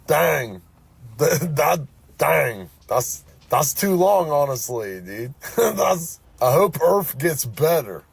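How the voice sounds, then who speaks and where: A young man talks slowly and casually, slangy and bemused. His higher voice is creaky and swings around a lot. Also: very animated, no room sound. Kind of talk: casual off-the-cuff chat.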